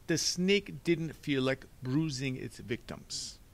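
A man's voice: mid-range voice, slow, voice pushed up. A man voicing a smug male doctor in a storybook, brusque and dismissive of others.

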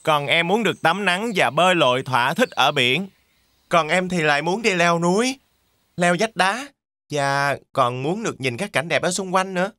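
An eager young cartoon goat voiced by a man, with a fairly high, bright voice. The kid sounds cheerful and enthusiastic in a dry, close recording. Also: animated, normal speed, dry recording. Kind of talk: children's cartoon acting.

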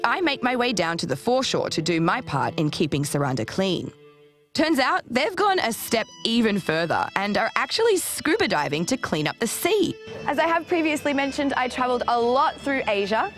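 A young woman hosting a travel show, presenting and interviewing in an upbeat, chatty way. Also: on the high side. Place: sunny beach outdoors.

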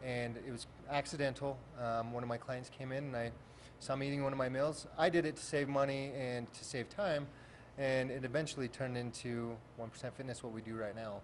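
A man's voice: mid-range voice, fairly even intonation, a little fast, a little hiss or hum. Man explaining his meal service plainly. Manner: matter-of-fact explaining. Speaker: meal prep company owner. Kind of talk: interview answers. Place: meal prep shop, indoors. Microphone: handheld mic.

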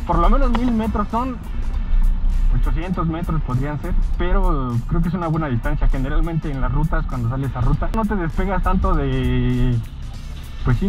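A man's voice: on the high side, highs cut off. A man with a Mexican accent giving a casual, slangy hands-on tutorial as a motorcycle vlogger. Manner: informal, slangy. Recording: loud music behind the voice.

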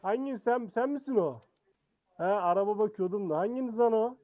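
A man's voice: high voice, a little fast, warm tone, muffled recording. A man arguing in online game roleplay, with an indignant, challenging manner.

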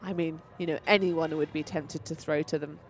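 A woman giving relaxed, playful live play-by-play commentary at an outdoor tournament. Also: low-pitched, moderate pace, slight background noise.

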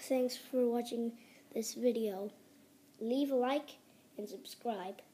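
A woman who is sick and sounds raspy, hosting a casual home review vlog indoors, talking hesitantly with many restarts into a phone held close. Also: a little high, takes it slowly. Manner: hesitant, restarts often, quiet and mumbly at times. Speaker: channel host. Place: indoor carpeted room at home.

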